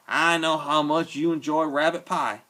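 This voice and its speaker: A man voicing an enormous, bearded, gentle drifter who speaks in a formal, genteel and playful way. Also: on the high side, level tone, normal speed, pitched above the performer's own voice. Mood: genial.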